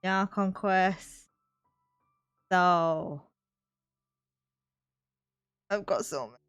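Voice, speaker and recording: A woman's voice: lowish voice, extremely slow, smooth, no room sound, muffled recording. A woman streamer giving casual live gaming commentary, chatting and muttering to herself as she plays. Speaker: game streamer. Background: game music.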